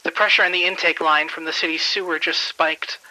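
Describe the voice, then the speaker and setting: Uneasy, hesitant male maintenance worker for horror audio drama, played by a man. Higher-pitched, very sharp tone. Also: little pitch movement, a little fast, rough recording. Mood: nervous, hesitant. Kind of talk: radio drama acting.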